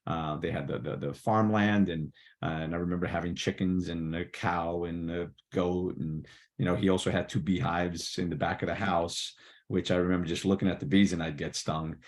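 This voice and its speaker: Low-voiced Portuguese-born man telling his story. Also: fairly even intonation, normal speed. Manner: rambling with many fillers and restarts. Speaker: podcast guest. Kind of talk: casual interview storytelling. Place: New Jersey.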